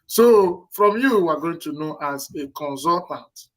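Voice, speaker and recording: A man's voice: a little high, animated, a little slow, a bit bright, low-quality recording. A man hosting an interview, polite and rambling, with many hesitations and fillers. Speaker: show host.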